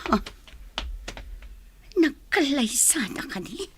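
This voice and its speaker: A woman's voice: sits high, big pitch swings, slow, fairly bright tone, a little hiss or hum, full character voice. A woman playing a headstrong, argumentative young wife and daughter in an Ilocano radio drama, with an Ilocano accent. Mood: curious, suspicious. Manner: insistent, argumentative.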